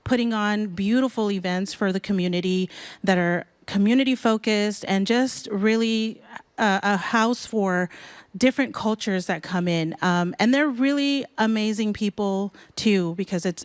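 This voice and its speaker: A woman's voice: mid-range voice, measured pace, clean tone, no echo. A woman from the Bay Area speaking as a DJ on a live panel, upbeat and enthusiastic. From San Francisco Bay Area. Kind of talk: panel discussion.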